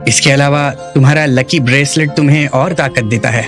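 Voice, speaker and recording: An actor plays a caring male guardian in a dubbed drama, gently teasing and protective. His pitch is mid-range, and music plays clearly behind him. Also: fairly even intonation, normal speed, natural voice.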